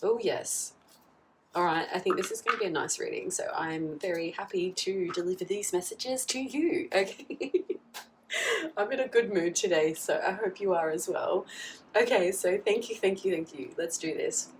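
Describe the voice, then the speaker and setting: Husky woman giving a chatty tarot reading. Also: lowish voice, moderate pace. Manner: chatty, often checks in with "okay?". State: husky, getting over a flu. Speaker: tarot reader. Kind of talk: casual tarot reading to camera. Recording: slightly distant mic, faint background noise.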